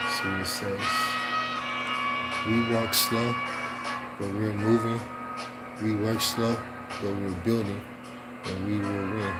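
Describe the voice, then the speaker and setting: Man, slightly low voice, fairly even intonation, informal halting read-aloud, repeated phrases, off-the-cuff remarks, loud music behind. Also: poor audio. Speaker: host reading aloud from an old newspaper.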